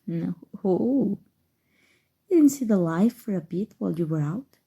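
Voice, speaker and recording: A smug evil sorcerer, acted by a woman for an ASMR roleplay. The voice is very dark and relaxed, husky, with a gravelly fry at the edges. It speaks softly and deliberately, teasing with cool menace and slipping toward a whisper. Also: medium pitch, full character voice. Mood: sly, measured. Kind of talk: ASMR roleplay, scripted character monologue.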